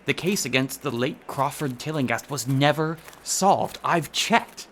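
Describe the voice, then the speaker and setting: A man's voice: medium pitch, expressive, measured pace, fairly bright tone, a little hiss or hum. An actor, a man, playing an earnest and stubborn believer in unseen horrors in a radio drama, articulate and insistent.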